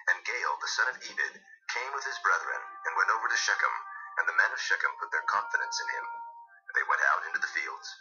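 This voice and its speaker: A man narrating dramatized scripture in a solemn, measured storytelling manner. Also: deep voice, animated. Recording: dull lo-fi audio. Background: music.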